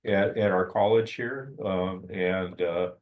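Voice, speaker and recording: An older man from Washington State introduces himself at a public meeting, speaking loudly with halting fillers. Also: low voice, fairly even intonation, highs cut off. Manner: loud, projecting, halting with fillers. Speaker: audience member, cleanup business owner. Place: community meeting room.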